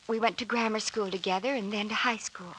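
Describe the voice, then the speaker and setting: A woman's voice: medium pitch, fairly even intonation, fairly quick, fairly bright tone, close to an everyday voice. An actress playing an earnest, hesitant young woman telling her story politely in an old-time radio drama. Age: young adult. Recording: noisy old radio recording.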